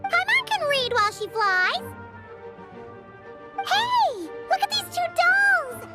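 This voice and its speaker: Very high, childlike little flying fairy. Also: female voice, big pitch swings, slow pace, over music, heavily acted. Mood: excited. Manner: refers to self in third person, exclamatory. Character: tiny flying childlike fairy companion, excitable and greedy. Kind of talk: animated game cutscene acting.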